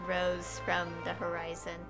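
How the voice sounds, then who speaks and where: A woman's voice: fairly low pitch, monotone, measured pace, gravelly. A young woman streaming a game, chatting casually and rambling with many fillers and little laughs. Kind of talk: casual gameplay commentary. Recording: game music playing behind.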